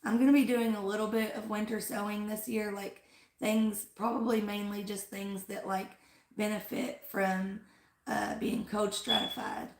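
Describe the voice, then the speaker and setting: A woman's voice: mid-range voice, little pitch movement, moderate pace. A woman hosting a flower-farm livestream in casual chat, rambling with lots of "like" and "um", her voice worn after being sick. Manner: rambling, fills with "like" and "um". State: losing her voice after an illness. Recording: echoey room.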